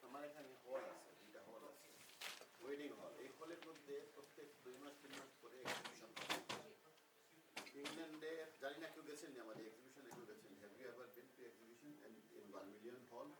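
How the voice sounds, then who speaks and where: A man talking very fast and persuasively, slipping English phrases into his talk as a wedding hall owner at an event. His voice carries through a roomy, echoing hall from a distant mic. Steady background noise runs under him. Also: medium pitch. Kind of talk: casual remarks at a networking event.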